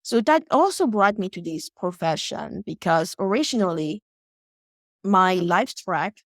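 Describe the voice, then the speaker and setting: A young woman from West Africa, a podcast guest, talking about her background in a calm, reflective, unhurried way. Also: mid-range voice, no room sound, studio quality. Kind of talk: casual interview talk.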